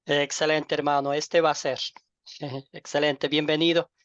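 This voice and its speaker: Over a muffled, dry online call, a middle-aged man with an Ecuadorian accent speaks as a pastor to his class. His delivery is informal and halting, with repeated words and affectionate diminutives. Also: fairly high pitch, level tone, fairly bright tone, no echo. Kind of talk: informal welcome and self-introduction to a class. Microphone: online group call microphone. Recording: dull lo-fi online call audio.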